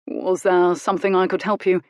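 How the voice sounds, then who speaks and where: A woman acts out a reserved young gentleman of the Regency era, formal and cautious in every word. The voice is heard close and dry. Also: lowish voice, little pitch movement, fairly quick, dry recording, lightly acted.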